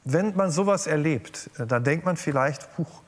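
A man hosting a TV talk show, asking his guest measured questions with a few fillers. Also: on the high side, animated. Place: TV studio with audience. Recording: reverberant studio with faint audience.

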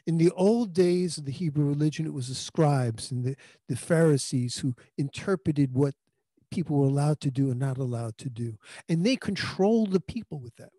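New pastor preaching into handheld mic. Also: male voice, fairly high pitch, moderate pace, warm tone, no room sound. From New York. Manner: earnest conversational preaching with frequent restarts. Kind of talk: sermon. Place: small indoor room. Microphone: handheld microphone.

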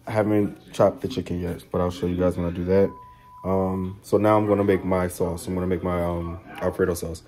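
A man in his thirties talking casually through a home cooking recipe, relaxed and unhurried with frequent ums. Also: low voice. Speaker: home cook vlogger. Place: home kitchen, indoors. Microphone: phone camera, handheld and propped. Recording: music playing loud behind.

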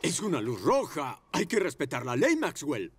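Pompous, swooping police motorbike. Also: male voice, on the high side, huge pitch swings, moderate pace, soft background music. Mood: officious. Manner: pompous, formal. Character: stern, officious male police motorbike, law-abiding and pompous.

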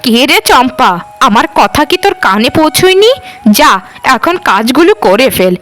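Woman doing bossy cartoon lady of the house, highish voice, brushes people off, wont budge. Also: very animated, normal speed, a bit bright, slight background noise. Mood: dismissive. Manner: dismissive, unyielding. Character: stubborn, bossy mistress of the house. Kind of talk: Bengali cartoon dialogue.

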